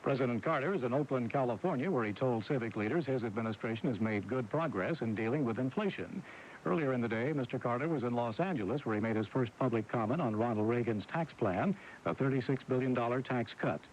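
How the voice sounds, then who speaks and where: A man's voice: mid-range voice, expressive, quick pace, slight background noise, poor audio. A man anchoring scripted radio news on an old AM broadcast recording. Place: radio studio.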